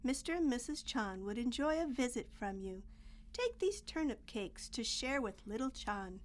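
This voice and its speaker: A woman voicing a gently firm, advising mother in a children's picture book read-aloud, measured and instructive. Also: fairly high pitch, big pitch swings. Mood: coaxing.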